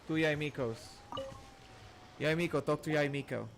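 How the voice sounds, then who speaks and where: A man's voice: a little high, normal speed, noisy background. A man giving casual gameplay commentary as a game streamer. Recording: game music behind the voice.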